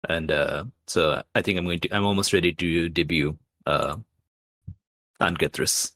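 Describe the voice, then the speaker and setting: A man's voice: deep voice, fairly even intonation, unhurried, fairly bright tone, no room sound, studio quality. A man narrating an improvised tabletop game casually, thinking aloud in a tentative way. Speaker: game host and player.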